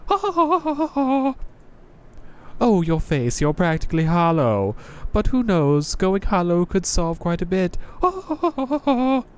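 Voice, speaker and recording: A man's voice: high voice, wildly swooping pitch, unhurried, clean tone, voice pushed up, full character voice. A man voicing a jaded, contemptuous female knight from a visual novel, with a sardonic, taunting manner. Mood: mocking. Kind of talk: visual novel character reading.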